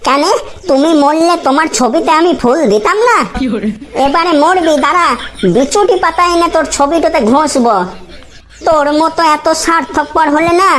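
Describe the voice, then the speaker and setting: Cartoon village wife female actor, shrill high pitch, rural West Bengal accent, fiery and melodramatic. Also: moderate pace, lightly acted. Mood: angry scolding. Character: hot-tempered, scolding, melodramatic village wife. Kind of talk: exaggerated comedy cartoon dialogue.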